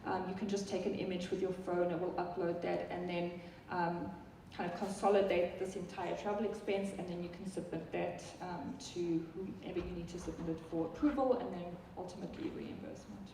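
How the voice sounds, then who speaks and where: A woman's voice: lowish voice, steady tone, brisk, few pauses, noisy background. A woman from South Africa with a South African accent, giving a chatty live product talk to an audience, with many ums and restarts. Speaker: software product presenter. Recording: echoey hall from far off.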